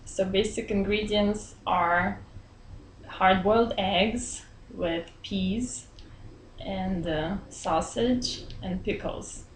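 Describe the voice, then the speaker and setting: Woman, 30s, from Belarus, friendly, laughs often, pauses for words, small office, webcam, roomy echo. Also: medium pitch. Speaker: interviewee. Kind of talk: casual interview chat. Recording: roomy echo, faint room hum.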